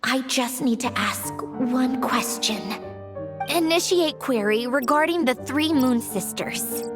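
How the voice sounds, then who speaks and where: An actress playing a proud, sharp-tongued mechanical genius in a video game, haughty and theatrical, sardonic but secretly caring. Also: female voice, sits high, animated, takes it slowly, over music. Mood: determined, weary.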